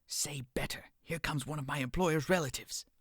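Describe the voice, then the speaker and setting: An actor playing a teasing, sharp-tongued young male servant in an audio drama. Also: fairly high pitch, moderate pace, no echo, studio quality. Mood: mocking. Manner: teasing, mocking.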